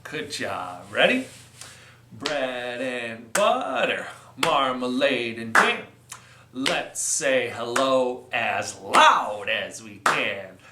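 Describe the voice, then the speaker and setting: Male children's storytime host, playful and encouraging, unhurried delivery, roomy echoing sound. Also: mid-range voice, big pitch swings, noisy background. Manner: encouraging, playful, simple repeated instructions. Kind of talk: sing-along storytime for young children.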